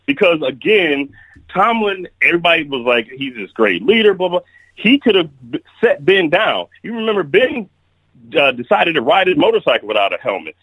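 A man's voice: fairly high pitch, very animated, normal speed, a bit bright, breathy edge, no echo, low-quality recording. Blunt, opinionated man on a remote call. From Kalamazoo, Michigan. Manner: blunt, opinionated, talks in restarts. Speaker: guest sports commentator. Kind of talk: casual sports podcast chat.

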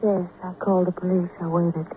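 A wistful, grieving young woman, played by an actress in a 1950s radio drama. Her phrases are short and halting. The sound is thin and tinny with faint hiss. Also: lowish voice, level tone, natural voice. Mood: dazed, wistful. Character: grieving, lovelorn young woman, dazed witness. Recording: tinny, noisy old radio recording.

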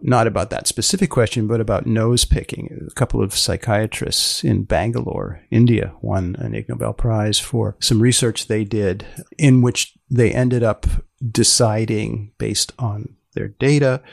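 A man hosting an interview podcast, speaking deliberately with repeated phrases and pauses. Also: on the low side, fairly even intonation, creaky, dry recording, studio quality.

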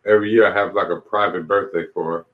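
Male interview guest, late 40s, lowish pitch, reflective and plain-spoken storyteller, slightly muffled recording. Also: steady tone, fast. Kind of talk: candid personal storytelling. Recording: dull, lo-fi audio.

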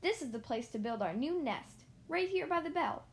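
A woman's voice: high-pitched, expressive, normal speed, pitched above the performer's own voice. A woman voicing a contented little mother bird who speaks sweetly in a sing-song way. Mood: pleased. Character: contented mother bird, small, fond of home.

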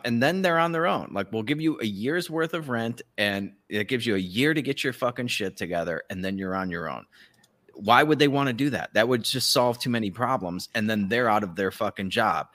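A man's voice: fairly low pitch, moderate pace, fairly bright tone. A man co-hosting a podcast with casual, sardonic political commentary and a profane, ranting manner. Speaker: podcast co-host.

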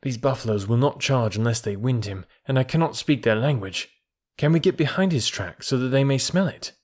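A man's voice: mid-range voice, expressive, brisk, darkish tone, close to an everyday voice. A man voicing a bold young jungle boy who gives orders eagerly. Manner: eager, commanding calls.

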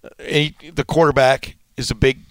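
A man's voice: medium pitch, level tone, moderate pace, clear, resonant tone. A man in his sixties from Texas, co-hosting casual sports talk in a folksy, easygoing, self-mocking way. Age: 60s. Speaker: sports talk show host.

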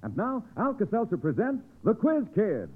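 A man's voice: high voice, wildly swooping pitch, moderate pace, husky. An American man announcing in a scripted 1940s radio advertising style, with an emphatic, polished sales delivery. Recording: tinny old narrow-band radio recording.

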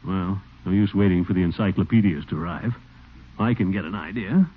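An American actor playing a pompous, excitable middle-aged small-town bachelor in an old-time radio comedy, with a blustery, theatrical delivery and nervous chuckles. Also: male voice, deep voice, brisk, music in the background, natural voice. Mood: fussy, excited. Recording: thin, narrow-band vintage radio recording with noise.

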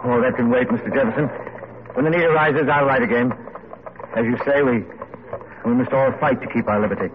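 An actor playing an ardent, idealistic and courteous Revolutionary pamphleteer in an old-time radio drama. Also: male voice, mid-range voice, fairly quick, long pauses, close to an everyday voice. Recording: noisy, tinny old radio broadcast.

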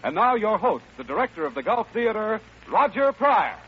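A man's voice: high-pitched, very animated, moderate pace. A male announcer introducing a 1940s radio show in a grand, emphatic style. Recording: muffled, noisy vintage radio broadcast.

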